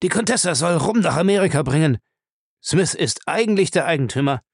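A man's voice: fairly high pitch, animated, a little fast, vocal fry, husky, no echo, clean studio sound, voice pushed up, heavily acted. A man voicing a cowardly, beaten crook, defiant and terrified, gasping in desperation in an audiobook. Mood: cowed. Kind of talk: audiobook character acting.

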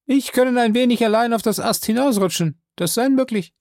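A reader voicing a frightened male servant who shouts down from a tree in broken, pleading speech. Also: medium pitch, moderate pace, dry recording, clean studio sound, voice pushed up, close to an everyday voice. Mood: eager. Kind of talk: audiobook character voice.

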